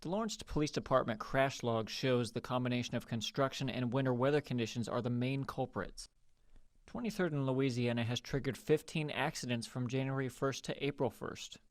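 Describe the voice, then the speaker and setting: A man giving a scripted TV news report in a measured, emphatic reporter's delivery. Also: mid-range voice, no room sound, studio quality.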